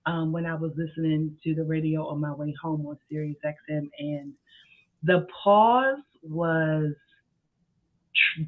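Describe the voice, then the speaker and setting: A man's voice: fairly high pitch, measured pace. A man in his forties, a podcast co-host, talking earnestly and thinking aloud in a casual discussion. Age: 40s. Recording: tinny phone-like sound.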